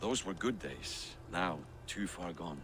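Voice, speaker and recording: Casting: veteran Viking schemer, lowish voice, conspiratorial. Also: male voice, expressive, slow, fairly bright tone, soft background music, heavily acted. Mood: nostalgic. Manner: conspiratorial, boastful. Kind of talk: video game cutscene acting.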